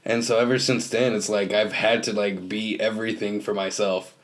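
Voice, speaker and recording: A man's voice: fairly low pitch, flat delivery, normal speed. A man in his twenties chatting casually as a podcast host, informal and prone to swearing. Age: 20s. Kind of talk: casual chat.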